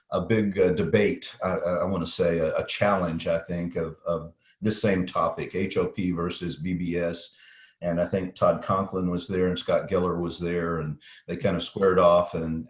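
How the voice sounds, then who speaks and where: A middle-aged man with a slight Texan accent, answering interview questions in a practiced broadcast-style voice, pausing often with fillers. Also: sits low. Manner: thoughtful, with frequent fillers and restarts. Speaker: guest safety consultant. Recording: echoey room.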